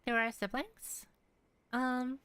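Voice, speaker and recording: A woman's voice: sits high, level tone, takes it slowly, a bit bright, smooth, no room sound. A woman doing casual game commentary, thinking aloud in a hesitant, rambling way. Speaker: game streamer.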